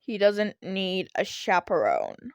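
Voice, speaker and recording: A woman's voice: medium pitch, huge pitch swings, slow, gravelly, no room sound, clean studio sound, pitched below the performer's own voice, full character voice. A woman voicing a tired, irritated male teacher who is dismissive and sardonic in a story reading. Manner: sardonic, muttering, weary.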